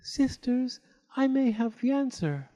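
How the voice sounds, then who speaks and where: A man voicing a shy young female fairy who speaks softly and earnestly in a storybook reading. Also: very high-pitched, big pitch swings, slow, voice pushed up, full character voice.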